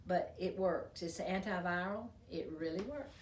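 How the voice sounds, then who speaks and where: A woman's voice: lowish voice, normal speed, slight background noise. Older woman, folksy, echoey room from afar. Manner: folksy, rambling, many ums and restarts. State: slightly hoarse, losing voice. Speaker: herbal medicine demonstrator. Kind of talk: casual show-and-tell explanation. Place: museum's old doctor's office, indoors. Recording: echoey room, mic at a distance.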